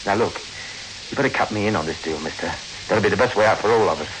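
Actor as a low-voiced, wary getaway driver. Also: male voice, quick pace, lightly acted. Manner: casual, wary, tough talk. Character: wary, streetwise small-time male getaway driver. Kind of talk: 1960s radio suspense acting. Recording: very noisy old radio recording.

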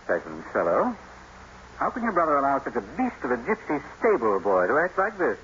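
An actor playing a haughty, indignant young gentleman suitor in a 1950s radio drama, speaking with condescension. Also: medium pitch, huge pitch swings, brisk. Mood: disdainful. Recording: muffled, noisy vintage radio broadcast.

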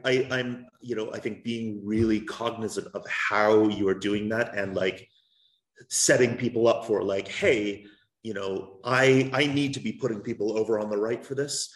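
A man's voice: fairly low pitch, steady tone, measured pace. A man talking through podcast production at a panel, with many fillers and restarts. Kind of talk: panel discussion. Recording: roomy echo.

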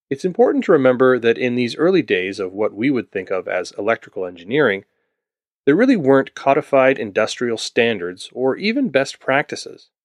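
A man's voice: medium pitch, no echo, clean studio sound. A man from Ontario narrating a scripted history podcast, with wry asides to the listener. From Ontario, Canada. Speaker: podcast host.

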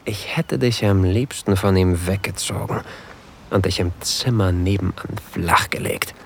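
An actor playing a possessive new lover in an intimate erotic audio drama, murmuring breathily and often whispering close to the microphone. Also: male voice, low voice, a little slow, vocal fry, a little hiss or hum. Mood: jealous, teasing. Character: possessive, seductive new lover, adult man, on a sailboat. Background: faint sea ambience.

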